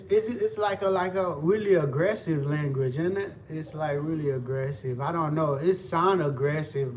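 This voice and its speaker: A man's voice: a little high, a little mellow. Haitian-accented man chatting while cooking. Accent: Haitian Creole. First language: Haitian Creole. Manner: loose, repetitive, talks to viewers while cooking. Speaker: cooking vlogger. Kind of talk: casual live cooking chat. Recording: distant mic with faint background noise.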